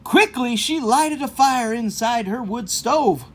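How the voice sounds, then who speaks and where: A woman's voice: fairly high pitch, expressive, slow pace. A woman reading a children's book aloud expressively, breaking off for informal, explanatory asides to young listeners. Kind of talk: expressive storytelling with chatty asides.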